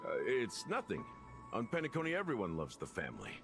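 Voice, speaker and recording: An actor playing a laid-back, sardonic, world-weary investigator in a game, with a casual, wry delivery. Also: male voice, mid-range voice, wildly swooping pitch, fast, music in the background.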